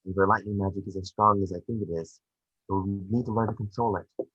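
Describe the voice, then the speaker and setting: A man reading the part of a gentle, remorseful witch ghost mentor in an amateur table read, earnest and measured. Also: lowish voice, steady tone, slightly rough, highs cut off. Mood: advising.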